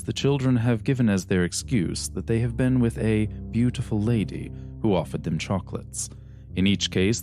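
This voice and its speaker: Newspaper report, deep voice, formal, measured, period audio drama, faint music. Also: male voice, darkish tone. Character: sober male newspaper voice reading lurid reports.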